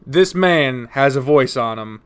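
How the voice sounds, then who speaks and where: A man hosting a casual interview, excitable and loose, swearing freely and laughing often. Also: medium pitch, measured pace, clean tone. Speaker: interview host.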